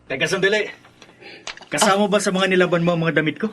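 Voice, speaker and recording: A man's voice: sits high, fast talker, fairly bright tone, slight background noise. An actor playing a bitter, defiant young man who despises his stepmother in a Filipino radio drama. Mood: angry, scornful. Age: young adult.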